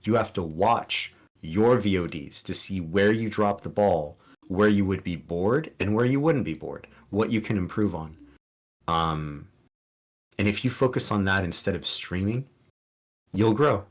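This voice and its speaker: A man's voice: sits low, lively intonation, a little slow. A man whose first language is Spanish, a streamer guest on a podcast giving earnest, rambling advice with many restarts and ums. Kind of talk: casual podcast interview.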